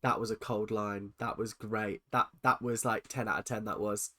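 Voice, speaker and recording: A man with a stuffy, nasal voice from a cold, chatting excitedly as he reacts to a show. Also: on the low side, normal speed, music in the background. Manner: excitable, chatty, swings between loud exclamations and muttered asides. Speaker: reaction video host. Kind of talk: casual reaction commentary.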